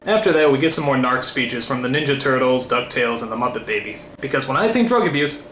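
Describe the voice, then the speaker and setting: Animated American man, exasperated comic reviewer. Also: mid-range voice, fast talker. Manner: exasperated, theatrically incredulous, prone to ranting. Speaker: comedic reviewer hosting a retrospective show. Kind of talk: scripted comedic review commentary. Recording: roomy echo, faint background noise.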